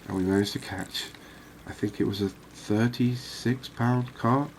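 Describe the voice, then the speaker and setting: A man giving relaxed, unhurried, musing ASMR-style gameplay commentary, chatting to his viewers. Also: mid-range voice, a little hiss or hum. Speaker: host of a cozy fishing game channel.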